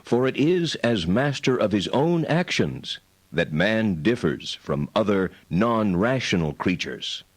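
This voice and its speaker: An actor playing a learned medieval philosopher, reading formal text in a deliberate, precise, didactic way. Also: male voice, fairly low pitch, sing-song, no room sound, exaggerated voice.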